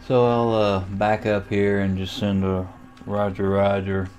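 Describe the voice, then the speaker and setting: A man giving a casual, unhurried screen tutorial, thinking aloud as he goes. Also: lowish voice, level tone, a little mellow. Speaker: amateur radio tutorial host. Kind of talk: casual screen-recorded software walkthrough.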